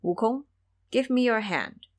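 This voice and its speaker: A woman voicing a serene female bodhisattva in an audio drama, calm, deliberate and authoritative as she instructs. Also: a little high, pitched above the performer's own voice.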